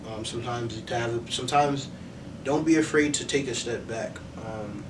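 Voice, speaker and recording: A man's voice: normal speed, distant mic. A young man answering as a music industry guest in a casual interview, earnest and reflective, circling back over his phrases. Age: young adult. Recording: roomy, off-mic, noisy with low rumble.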